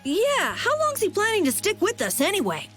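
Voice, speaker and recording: An excitable child adventurer in a video game. The voice is very high and bouncy, earnest and emphatic in a childlike way, over faint music. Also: female voice, moderate pace, heavily acted. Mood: suspicious.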